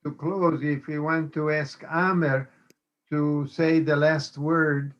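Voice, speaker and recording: Older man, very slow speech, moderator, dull lo-fi call audio. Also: a little high, level tone, fairly bright tone. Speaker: panel moderator. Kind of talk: video call panel moderating. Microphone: video call.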